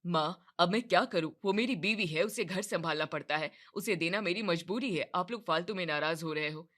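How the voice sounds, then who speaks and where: A woman's voice: fairly low pitch, normal speed, a bit bright, pitched below the performer's own voice, lightly acted. A woman voicing a tired, meek young husband and son who answers in a subdued, resigned way.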